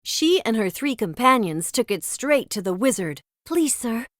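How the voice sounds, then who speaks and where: A woman's voice: on the high side, lively intonation, moderate pace, dry recording, clean studio sound. A woman narrating a children's storybook as a scripted read-aloud.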